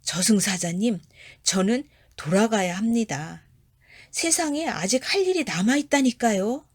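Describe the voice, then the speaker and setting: A woman's voice: a little high, lively intonation, unhurried, voice pushed up. A woman voicing a panicked male magistrate in a storybook tale, stammering and begging desperately for his life. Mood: pleading.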